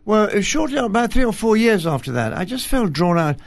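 Older man with a gravelly voice. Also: high voice, sing-song, fairly quick, no room sound. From Scotland. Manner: gentle, self-deprecating, hesitant with fillers. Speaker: retired bishop, guest. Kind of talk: relaxed interview conversation. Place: television studio.

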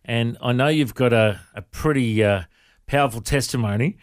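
A man's voice: mid-range voice, moderate pace, dry recording, clean studio sound. A man hosting a radio interview, asking short, friendly questions. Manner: friendly, brief prompting questions. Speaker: radio show host.

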